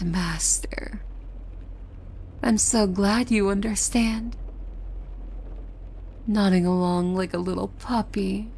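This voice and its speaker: A woman acts out a yandere demon maid, utterly devoted and possessive of her master. She speaks at a very slow pace in a mid-pitched voice, formal and servile with a threat beneath it, often dropping into whispers. It is an ASMR roleplay with clearly audible background noise. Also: a little mellow. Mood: smug, doting.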